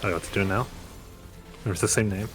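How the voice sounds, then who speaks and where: A man's voice: lowish voice, fast. A man chatting casually as a teammate during an online game raid. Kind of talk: casual gaming chat. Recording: game music clearly behind the voice.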